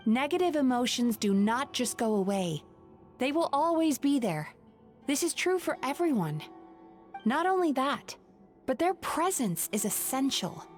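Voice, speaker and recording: A woman playing a composed, earnest dream therapist in a video game, measured, articulate and gently firm. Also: fairly high pitch.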